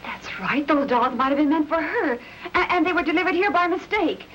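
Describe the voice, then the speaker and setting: Casting: inquisitive young wife-detective, breathless and chatty, very high voice, dull old radio sound. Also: female voice, animated, brisk, fairly bright tone, a little hiss or hum. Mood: shocked, curious. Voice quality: high-pitched. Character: flustered, inquisitive young wife turned amateur sleuth. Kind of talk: old-time radio mystery acting. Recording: muffled old radio broadcast, music.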